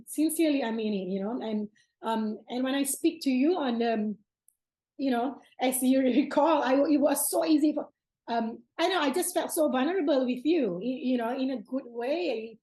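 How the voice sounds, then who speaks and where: Effusive woman host with a mellow voice. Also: high-pitched, normal speed. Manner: effusive, many fillers and restarts, hand gestures. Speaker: podcast host. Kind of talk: casual video call interview. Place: bright home room indoors.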